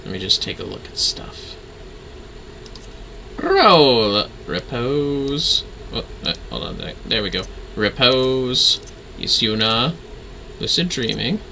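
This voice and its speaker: A man's voice: medium pitch, big pitch swings, slow pace, creaky, long pauses, pushed and bright, a little hiss or hum. A man livestreaming a game with casual, playful commentary, often talking to himself and muttering skill names.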